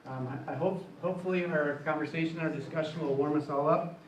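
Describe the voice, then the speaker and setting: A man moderates a panel, a touch high-pitched and hesitant as he gives the floor to the guests. He sounds far from the mic in an echoing hall. A faint noise runs behind him. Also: steady tone, a little fast. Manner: hesitant, many fillers. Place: event hall. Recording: echoey room, far from mic.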